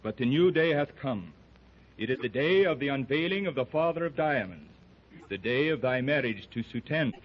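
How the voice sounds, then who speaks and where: A man's voice: medium pitch, expressive, moderate pace, a little hiss or hum, lightly acted. A man playing a solemn archaic servant. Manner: archaic, formal, fatalistic speech. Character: solemn ancient-kingdom servant, grown man. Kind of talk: 1930s radio serial acting. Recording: dull old radio recording.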